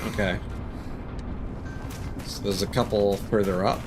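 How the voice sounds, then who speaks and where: A man with a fairly low voice talks at a very unhurried pace as he streams a video game. His commentary is casual and sweary, with loud game music playing behind him. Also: fairly even intonation. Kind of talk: live gameplay commentary, casual chat. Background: loud video game music and sounds.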